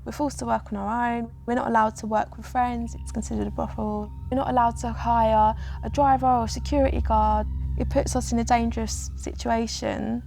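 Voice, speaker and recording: High-pitched woman with a creaky edge. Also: little pitch movement, a little fast, few pauses, darkish tone, a little hiss or hum. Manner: casual, frequent "like" fillers. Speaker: interviewee. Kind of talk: documentary interview.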